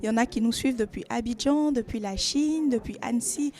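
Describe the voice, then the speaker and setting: A woman's voice: a little high, a little fast, a little mellow. A woman coach talking on camera about her training session and encouraging people to come. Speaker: coach running a masterclass. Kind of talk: promotional interview remarks.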